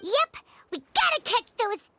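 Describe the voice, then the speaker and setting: A woman voicing a tiny, childlike, excitable fairy companion in a video game, squeaky and naive. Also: a little slow, noisy background, exaggerated voice. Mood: eager. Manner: wide-eyed, talks of self in third person. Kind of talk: cartoonish video game voice acting.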